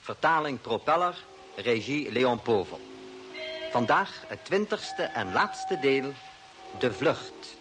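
A man announcing a radio serial in a formal, deliberate mid-century broadcast style. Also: mid-range voice, animated, music in the background. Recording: faintly hissy old radio recording.

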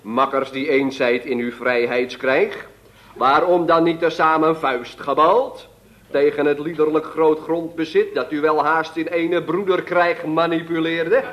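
A man's voice: on the high side, fairly even intonation, measured pace, natural voice. An actor playing a zealous young male activist who speaks in grandiose, archaic rhetoric, in 1960s radio comedy. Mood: rousing. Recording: dull lo-fi archive radio recording.

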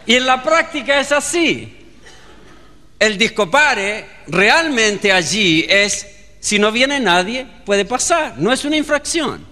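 A man's voice: sits high, very animated, slow pace, bright, forceful tone, long reverb tail. A male pastor with a Chilean accent preaching a sermon and acting out the dialogue of a story. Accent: Chilean Spanish.

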